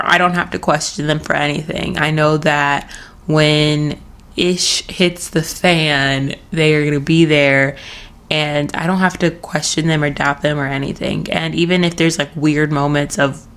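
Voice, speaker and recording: A woman's voice: low voice, fairly even intonation, a little slow, a bit bright, clean tone. A young woman hosting her own podcast, chatting casually in a rambling, giggly way while losing her voice. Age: young adult. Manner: rambling, self-interrupting, giggly. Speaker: podcast host. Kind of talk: casual solo podcast chat. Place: at home, early morning.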